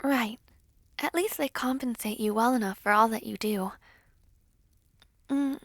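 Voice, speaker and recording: A woman playing a gentle, modest young princess in an audio roleplay, soft and breathy, speaking politely and hesitantly. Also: on the high side, a little slow, no echo, clean studio sound. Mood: gently anxious. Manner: soft-spoken, hesitant, polite, formal diction.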